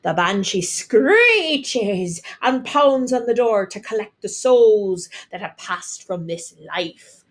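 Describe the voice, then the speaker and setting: A woman's voice: medium pitch, very animated, slow, few pauses. A woman voicing a jolly Irish immigrant father in a storybook, a theatrical storyteller who draws out his words. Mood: spooky.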